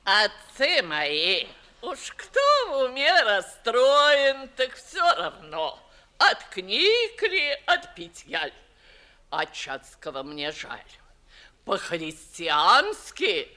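Casting: blunt old society dowager in classic radio comedy, very slow, declamatory, swooping pitch. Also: female voice, sing-song, bright, forceful tone, heavily acted. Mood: pitying, gossipy. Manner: emphatic, declamatory, drawn-out argumentative delivery. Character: blunt, stubborn old Moscow noblewoman, pitying yet scornful. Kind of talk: classic verse comedy, 1950s radio theatre acting.

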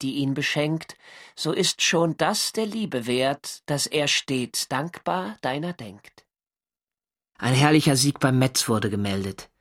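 An actor playing a navy sailor who recounts his wartime diary in measured, dryly observant literary narration for a radio drama. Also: fairly high pitch, fairly bright tone. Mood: wry, reciting, then measured, wistful.

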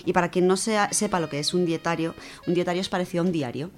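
Spanish woman, deep-ish low voice, chatty book segment on radio, keen and colloquial, bit of music underneath. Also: fast talker. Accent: Spain. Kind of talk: informal spoken book review.

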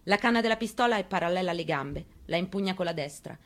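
An actress performing a first-person theatrical monologue reading, in a measured, intimate and quietly intense way. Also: female voice, on the low side, animated. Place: radio broadcast of a stage reading.

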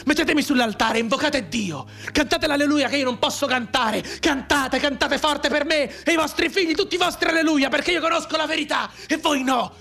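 Un attore che interpreta in un radiodramma un giovane reduce di guerra mutilato, amaro e sardonico, con tono declamatorio e appassionato. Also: male voice, very high voice, brisk, creaky, few pauses, bright, forceful tone, heavily acted. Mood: impassioned, anguished. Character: bitter, maimed young war veteran, anguished and sardonic. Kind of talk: dramatic radio theatre monologue. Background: music under the voice.

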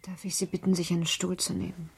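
A woman playing a sorrowful, lonely young gentlewoman in a radio drama, subdued and weary in her delivery. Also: fairly low pitch, little pitch movement, fast talker, full character voice. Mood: composed, restrained. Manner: subdued, breathy half-whisper, weary.

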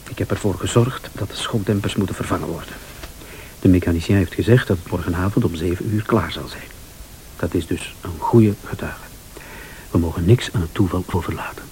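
An actor playing a scheming, impatient, smooth-talking husband in a radio thriller, with a Flemish accent. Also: male voice, sits low, a little mellow, natural voice, poor audio. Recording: radio drama with clear tape hiss.

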